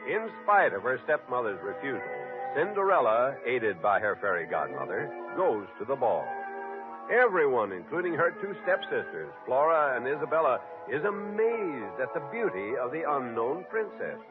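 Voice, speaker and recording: A man's voice: mid-range voice, big pitch swings, normal speed, natural voice. A man giving scripted storybook narration as the narrator of an old-time radio drama. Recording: tinny old radio recording. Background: music.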